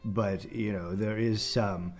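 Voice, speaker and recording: Man putting on a pompous, rambling British film critic, lowish voice. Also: fairly even intonation. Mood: rambling, indignant. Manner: halting, rambling, self-important. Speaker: podcast film reviewer. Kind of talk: rambling comic monologue.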